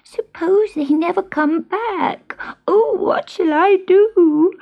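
A woman doing a high, pleading little boy kitten. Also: lively intonation, takes it slowly, creaky, full character voice. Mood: frightened. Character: small frightened male witch's kitten. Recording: muffled lo-fi recording.

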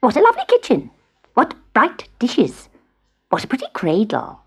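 A woman voicing a sly male hobgoblin who coaxes in a high, sing-song storybook voice. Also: huge pitch swings, moderate pace, a bit bright, breathy edge, heavily acted. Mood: coaxing. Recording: muffled lo-fi recording.

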